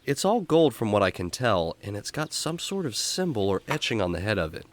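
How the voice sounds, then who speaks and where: Upbeat male explorer-host acted by a man, children's audio drama; showman-like, very expressive. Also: fairly low pitch, fairly quick. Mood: friendly. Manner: showman-like, friendly, emphatic. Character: upbeat jungle explorer man hosting a kids' story show. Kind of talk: kids' radio drama acting.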